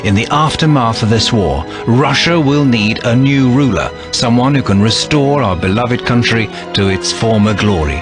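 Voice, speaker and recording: Animated, resolute soldier hero, acted by a man. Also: mid-range voice, moderate pace, hardly any pauses, music in the background, close to an everyday voice. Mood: earnest, resolute. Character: noble, resolute soldier brother, sworn secret agent. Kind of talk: dramatic video game cutscene acting.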